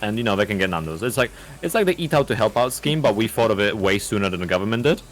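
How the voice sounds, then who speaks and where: A man's voice: fairly low pitch, very animated, fast, soft background music. A man co-commentating a fighting-game tournament, chatty and rambling and often laughing. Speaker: esports co-commentator.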